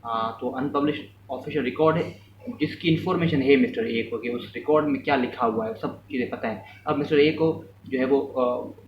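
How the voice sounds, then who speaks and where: A man's voice: medium pitch, normal speed. A man giving an informal law lesson, explaining step by step, often rephrasing and mixing in English terms. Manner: mixes English terms and phrases into speech. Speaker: law lecturer. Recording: echoey room, distant mic, muffled, faint noise.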